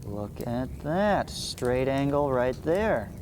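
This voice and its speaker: A man's voice: mid-range voice, takes it slowly. A man in his thirties teaching a math lesson, reading aloud and adding informal explanations with little check-ins. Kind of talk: read-along geometry lesson with explanatory asides. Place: small indoor room, seated before a green screen. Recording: clear steady background noise.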